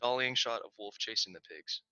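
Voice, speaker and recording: A man's voice: lowish voice, brisk, bright, forceful tone, dry recording. A man reading screenplay shot directions aloud at a table read, over muffled video-call audio.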